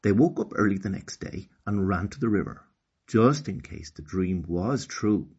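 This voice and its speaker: A man narrating a children's story as an expressive storyteller. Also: fairly low pitch, normal speed, slightly rough, lo-fi sound.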